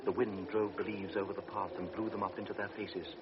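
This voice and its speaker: A man narrating a classic radio drama in a measured, grave storytelling voice. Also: lowish voice, flat delivery. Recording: dull old radio recording with hiss.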